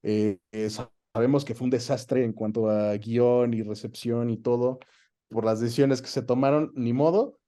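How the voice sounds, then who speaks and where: A Mexican man with a soft, mellow timbre and an average pitch speaks as an interview guest. He tells anecdotes casually and expressively, acting out bits of dialogue as he goes. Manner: animated anecdote-telling, acts out quoted dialogue. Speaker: guest, voice actor and dubbing director.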